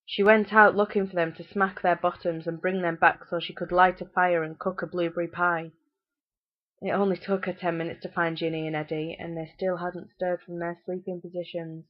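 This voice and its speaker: British lady telling a fairytale, lowish voice, slightly muffled recording. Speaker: storyteller. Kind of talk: fairytale narration.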